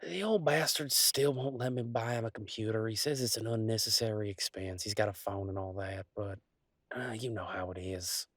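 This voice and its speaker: A man's voice: lowish voice, moderate pace, fairly bright tone, no echo. An easygoing werewolf boyfriend, acted by a man in an intimate audio roleplay. He rambles in a folksy, affectionate, teasing way. The sound is close and dry. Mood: earnest, hesitant. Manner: folksy, rambling, playful drawl with colloquial turns. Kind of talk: intimate one-sided roleplay audio, conversational acting.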